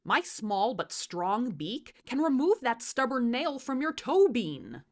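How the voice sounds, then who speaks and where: A woman voicing a small storybook raven who bargains for his life with emphatic, deliberate pleading. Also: on the high side, big pitch swings, voice pushed up, full character voice. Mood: pleading, persuasive.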